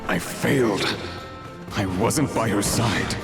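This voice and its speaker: Low male game phantom, grieving and regretful, ghostly echo, loud music. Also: slow pace, creaky. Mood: remorseful. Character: grief-stricken, regretful disembodied male voice from memory. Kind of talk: video game voice acting.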